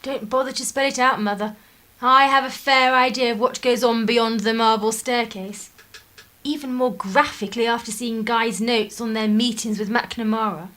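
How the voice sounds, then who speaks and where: An actress playing a defiant, idealistic eighteen-year-old English daughter in a radio drama, assertive and sardonic. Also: female voice, fairly high pitch, fairly even intonation, moderate pace, a bit bright, close to an everyday voice. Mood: frank, assured. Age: young adult.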